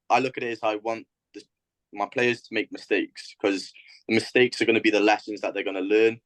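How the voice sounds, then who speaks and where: A man's voice: lowish voice, no echo. A man with a Welsh accent, a youth soccer coach, introducing himself and his coaching philosophy in a plain, loosely organized way. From Wales. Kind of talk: self-introduction on a video call.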